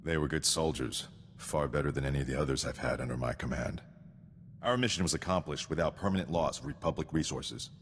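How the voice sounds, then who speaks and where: An extremely deep male voice as a rigid, dutiful game sergeant. He speaks with formal precision and cold devotion to victory. The sound carries long hall reverb and a rumbling noise. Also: brisk, creaky. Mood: stoic. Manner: formal, precise, recites statistics. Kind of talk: scripted video game acting.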